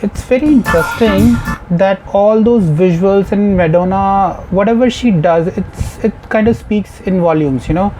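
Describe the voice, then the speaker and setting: A reaction video host with an Indian accent and a voice pitched in the middle talks casually and thinks aloud. The tone is musing and conversational, with music clearly playing behind. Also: a little slow, mellow, poor audio. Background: music video soundtrack.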